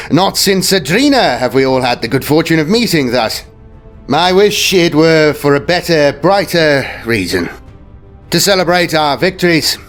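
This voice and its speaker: A man's voice: on the high side, fairly bright tone, pitched above the performer's own voice. Man playing a pompous, swooping lord admiral. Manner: pompous, oratorical, aristocratic flourish. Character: grand theatrical lord admiral, celebrated war hero addressing officers. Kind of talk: dramatic audio story character speech.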